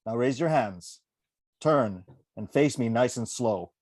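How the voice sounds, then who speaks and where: A man acts out a belligerent tough who taunts and threatens. His voice sits at a middle pitch. The sound is dry and close, like a script read on a video call. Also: animated, slow pace, a little mellow, dry recording. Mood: hostile, commanding. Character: belligerent, taunting men: street brawler and threatening police officer. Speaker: actor at a virtual table read. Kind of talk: script table read, dramatic dialogue. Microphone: home microphone on a video call.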